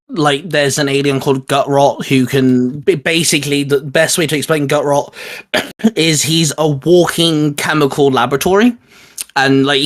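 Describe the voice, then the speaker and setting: A man with a medium-pitched voice hosts a casual debate about geeky matters. He talks informally and freely, giving his opinions in a chatty way. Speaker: video host.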